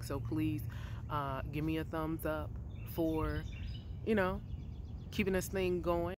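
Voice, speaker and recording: A young adult woman vlogger, congested from allergies, chatting casually to camera in a backyard with a rambling, conversational manner and many fillers. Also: low-pitched. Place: outdoors in a backyard by a wooden fence. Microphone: phone or camera at arm's length. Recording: outdoor noise in the background.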